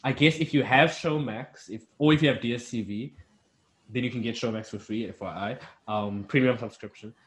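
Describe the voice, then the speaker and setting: A man's voice: medium pitch, normal speed. A young man chatting casually as a podcast co-host, rambling with restarts. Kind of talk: casual chat. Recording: echoey room.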